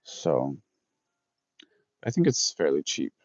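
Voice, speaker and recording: Low-voiced man chatting on a stream. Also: huge pitch swings, slow, darkish tone, no room sound. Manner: rambling, self-correcting, reads out chat. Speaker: livestream host chatting with viewers. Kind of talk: casual livestream chat. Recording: dull lo-fi dry sound.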